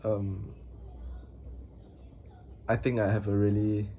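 A young man talking to his camera in a small room, with a halting, hesitant manner, flat monotone delivery, and many pauses and fillers. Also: lowish voice, muffled recording, slight background noise. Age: young adult. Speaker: vlogger. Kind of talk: casual talk to camera.

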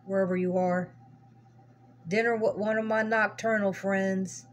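A woman's voice: medium pitch, level tone, a little slow. A woman narrating a home video in casual, folksy, rambling chat, often repeating her words. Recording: humming noisy amateur recording.

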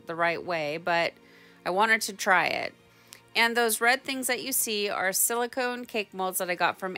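A woman's voice: mid-range voice, pushed and bright. A woman giving a chatty, informal instructional voice-over as a craft tutorial host. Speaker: tie-dye tutorial host.

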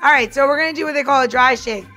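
A woman's voice: high voice, very fast, bright, forceful tone, soft background music. A woman co-hosting a podcast as bartender, casually talking listeners through a cocktail demonstration in a chatty way. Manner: chatty, talks the listener through each step.

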